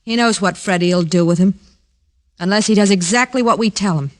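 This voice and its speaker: A woman's voice: medium pitch, fairly quick, natural voice. A woman playing a cold, controlling schemer in a 1940s radio drama, firm and commanding. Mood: coolly threatening. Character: cold, controlling female schemer with ruthless resolve.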